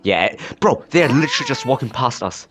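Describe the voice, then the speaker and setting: A young man giving casual, sarcastic game commentary, halting and repeating his words. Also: medium pitch, expressive. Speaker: gamer recording a playthrough. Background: faint game music.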